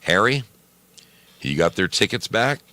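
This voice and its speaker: A man voicing a brisk, formal village official, giving directions in an emphatic, businesslike way. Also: on the low side, sing-song, sharp tone, dry recording, pitched above the performer's own voice, full character voice. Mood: directing.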